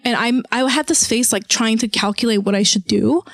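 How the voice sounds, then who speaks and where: A higher-voiced woman recounting a story on a podcast, swearing freely and slipping into voices for the people she quotes. Her delivery is animated and the sound is dry and close. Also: fairly quick, gravelly, no echo. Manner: animated, profane, acts out quotes. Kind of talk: casual storytelling chat.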